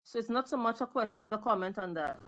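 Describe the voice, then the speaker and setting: A woman's voice: fairly high pitch, animated, fast talker, fairly bright tone, dull audio. A woman from Trinidad and Tobago, commenting emphatically in a video call discussion and repeating words for stress. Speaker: panel audience member.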